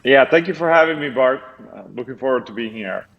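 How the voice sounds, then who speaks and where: A man's voice: medium pitch, expressive, fairly quick, vocal fry, dull audio. A man with an Italian accent, a company founder who is a guest on a podcast, speaking thoughtfully with many hesitations over a remote call. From Italy. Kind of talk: casual interview chat.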